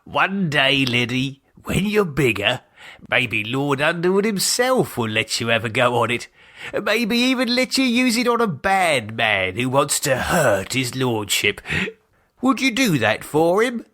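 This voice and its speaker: Man acting a fond father, playful, slow and deliberate, lively swooping pitch, audiobook storytelling. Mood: affectionate. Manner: hesitant, slow, affectionate explaining. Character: fond playful English father, gleefully wicked about swords.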